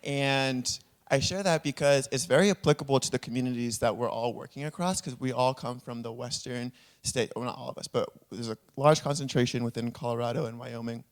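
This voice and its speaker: A man's voice: mid-range voice, steady tone, a little fast, few pauses. A man from Puerto Rico speaking as a panelist at a conference, explaining his work in an earnest, step-by-step way. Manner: earnest, explanatory, lists examples.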